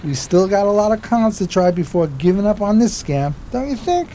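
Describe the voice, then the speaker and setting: A man's voice: high voice, lively intonation, moderate pace, dark tone, a little hiss or hum. An actor playing a simple, loyal, streetwise homeless man of 1920s New York, talking in folksy slang for radio theater. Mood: coaxing, unsure.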